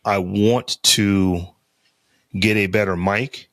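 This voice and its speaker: A man with a deep, lowish voice chats casually on his podcast, rambling and circling back with fillers as he goes. He talks close to the mic, and the sound is dry and free of room echo. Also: steady tone, slow pace, a bit bright. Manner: rambling, loose talk with many fillers and restarts. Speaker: podcast co-host. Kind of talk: casual chat. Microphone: close studio mic on a podcast interface.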